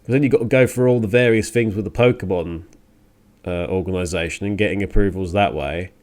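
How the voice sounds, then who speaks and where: A man hosting a radio show, talking in an earnest, emphatic monologue with deliberate pauses and repeated phrases. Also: deep voice, darkish tone. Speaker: radio show and podcast host. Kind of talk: spoken opinion monologue on a music radio show.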